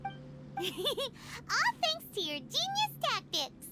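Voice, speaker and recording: A woman voicing a tiny, cheeky, childlike fairy companion in a video game, squeaky, with exaggerated, drawn-out delivery. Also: huge pitch swings, heavy background noise, exaggerated voice. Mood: impressed. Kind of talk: scripted video game dialogue.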